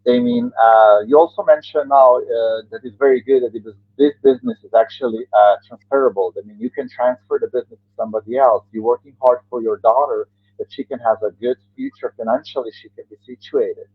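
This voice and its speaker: A man's voice: mid-range voice, a little fast, breathy edge, dry recording. A man with a Croatian accent hosting an interview over a video call, hesitant with many fillers and non-native grammar. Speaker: interview host. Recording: tinny phone-like line, dry.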